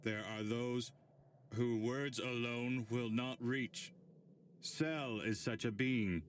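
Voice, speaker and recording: Man voicing a slow, soft-spoken gentle android. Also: mid-range voice, steady tone, slight background noise, natural voice. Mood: solemn pleading. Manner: soft-spoken, earnest pleading. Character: gentle, solemn male android, a disembodied head. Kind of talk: dramatic anime dub acting.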